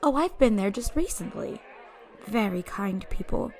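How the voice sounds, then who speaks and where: A woman playing a polite, naive, youthful-seeming fey traveler with a soft, hesitant manner in a fantasy audio drama. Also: mid-range voice, expressive, moderate pace, gravelly. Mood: gentle, curious.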